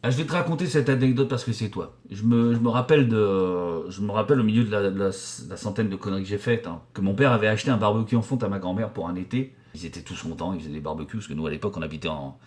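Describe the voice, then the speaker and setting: A man's voice: fairly low pitch, fast, hardly any pauses, darkish tone. A man in his forties from the Paris region, a painter and decorator answering viewer questions in a casual, joking, crude and rambling way, with many fillers and restarts. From Paris region, France. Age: 40s. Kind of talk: casual vulgar chat.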